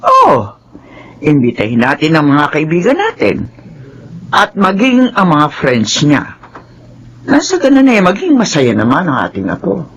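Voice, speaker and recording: An actress playing a kindly but indignant old grandmother in a Filipino radio drama, husky and emphatic. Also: low-pitched, wildly swooping pitch, a little slow, pauses often, highs cut off, heavily acted. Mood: kindly, eager. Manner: emphatic, swooping.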